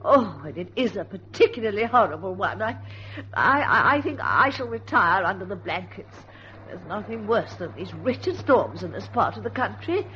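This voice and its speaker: A woman's voice: mid-range voice, animated, moderate pace, fairly bright tone, close to an everyday voice. Actress as fussy, swooping older woman, muffled recording. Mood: fretful, fluttery. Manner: prim, scolding, precise, fluttery. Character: Martha, fussy, pedantic, fretful older woman, stickler for grammar. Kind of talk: old-time radio drama acting. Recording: muffled old radio recording, faint noise.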